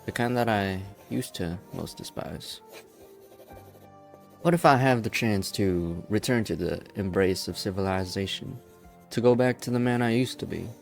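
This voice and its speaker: Man acting grim, low-voiced weary rebel leader. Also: normal speed, gravelly, soft background music. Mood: somber, reflective. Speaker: amateur voice actor. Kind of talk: dramatic fan-dub voice acting.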